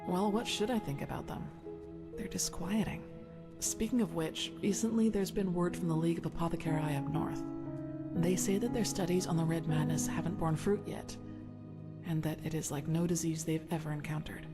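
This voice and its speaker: A woman as an earnest low-voiced fantasy heroine. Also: steady tone, normal speed, natural voice. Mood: pensive, troubled. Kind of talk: cinematic fantasy game voice acting. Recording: loud music behind the voice.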